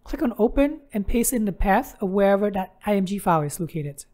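A woman's voice: medium pitch, animated, fairly quick, warm tone. Woman narrating a step-by-step tutorial. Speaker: tutorial host.

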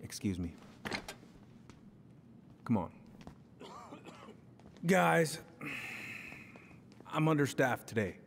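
A man's voice: medium pitch, big pitch swings, extremely slow, vocal fry, long pauses, slight background noise, heavily acted. An actor playing a stern, weary, middle-aged male police chief with an American accent in a video game, speaking firmly and curtly. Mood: harried, firm. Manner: firm, curt. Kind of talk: video game dialogue acting.

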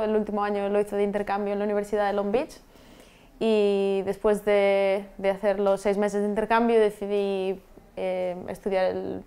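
A woman, answering interview questions in a relaxed way with frequent restarts, recorded in a studio on a lapel mic. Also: mid-range voice. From Vigo, Galicia, Spain. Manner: relaxed, many restarts and trailing-off endings. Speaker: guest rapper and software engineer. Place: TV studio set.

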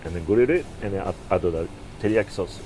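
Low-voiced Japanese-accented man, halting. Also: music in the background, low-quality recording. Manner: short halting phrases. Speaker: sushi chef host. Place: outdoor garden patio.

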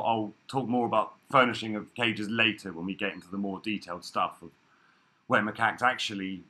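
A man in his thirties giving a relaxed, chatty workshop talk over a video call from an office room, with frequent ums. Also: mid-range voice. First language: English. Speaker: workshop speaker. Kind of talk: casual presentation. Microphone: laptop webcam video call.

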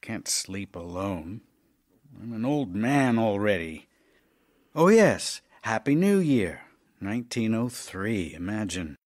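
An actor playing an ailing middle-aged playwright husband in an audio drama, tender, intimate and wistful as he voices love letters. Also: fairly low pitch. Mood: weary, wry, then affectionate.

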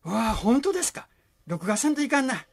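A woman's voice: on the high side, big pitch swings, a little fast, vocal fry, breathy edge, full character voice. A woman acting in a radio drama as a friendly, chatty saxophone player out shopping. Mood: friendly, curious.

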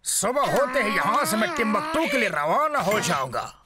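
A man's voice: high voice, sing-song, moderate pace, a loud crowd. A man voicing a greedy, boastful conquistador villain in a cartoon dub, with a theatrical, gloating manner. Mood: curious, haughty. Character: scheming, greedy male conquistador treasure hunter, boastful villain. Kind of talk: exaggerated cartoon dub acting. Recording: loud cartoon music behind.

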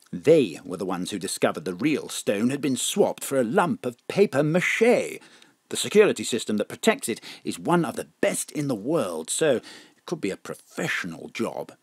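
An actor playing a furtive, fussy top civil servant, conspiratorial and animated in a comic audio drama. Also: male voice, medium pitch, husky, no echo, clean studio sound.

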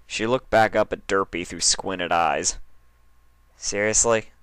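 A man's voice: sits low, a little slow, fairly bright tone, clean tone, no room sound. A man reading stories aloud as narrator, with a casual, storytelling style. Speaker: fan fiction reader and narrator.

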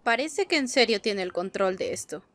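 Tough deadpan cowgirl played by a woman. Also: mid-range voice, moderate pace, natural voice. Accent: Latin American Spanish. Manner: deadpan, curt, commanding. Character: tough, unimpressed young cowgirl gunslinger. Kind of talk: animated cartoon dub. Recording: music behind the voice.

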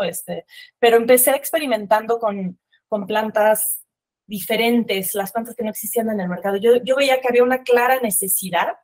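A woman in her forties from Mexico City with a Mexican accent, giving a webinar talk on a video call, conversational with many fillers and restarts. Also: on the high side. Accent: Mexican Spanish. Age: 40s. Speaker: landscape architect giving a webinar. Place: room with bookshelves. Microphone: video call webcam.

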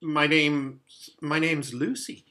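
A man's voice: a little high, fairly even intonation, takes it slowly, sharp tone. A man voicing a polite, puzzled little girl in a children's storybook, simple and hesitant in manner.

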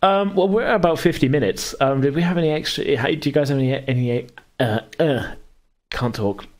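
A man's voice: on the high side, fairly quick. A man hosting a casual, unscripted podcast chat, speaking in a hesitant, circling way. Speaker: podcast host and game master.

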